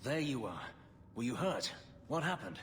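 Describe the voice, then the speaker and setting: A man's voice: mid-range voice, sing-song, normal speed, a bit bright. An actor playing a testy, protective male companion in a video game. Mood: concerned. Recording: long hall reverb, background noise.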